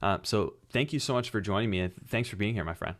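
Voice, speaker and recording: A man's voice: fairly low pitch, fast talker, a bit bright. A man hosting his channel, chatting casually and asking a guest open questions. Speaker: channel host, interviewer.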